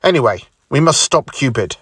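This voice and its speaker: A man voicing a stern older male time traveller who explains things in a steady way. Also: medium pitch, moderate pace, breathy edge, no room sound, studio quality.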